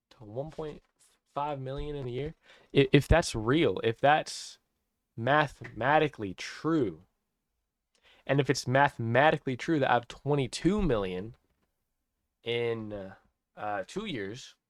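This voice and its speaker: An eighteen-year-old man ranting excitedly in a personal vlog, amazed and repeating himself, often restarting sentences. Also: medium pitch, no echo, studio quality. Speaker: vlogger.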